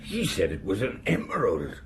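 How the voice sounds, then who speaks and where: A cautious, worried country father in a radio play, speaking haltingly. His voice is very deep yet lively in pitch. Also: slight background noise. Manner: hesitant, cautious. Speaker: murdered maid's father. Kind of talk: radio drama acting.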